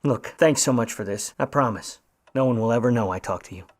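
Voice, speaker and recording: An actor playing a wry, slangy, smooth-talking private eye in an old-time radio noir drama. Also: male voice, medium pitch, fairly quick, darkish tone, lightly acted. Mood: wry, probing. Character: grudging, smooth-talking hardboiled 1950 Hollywood private eye.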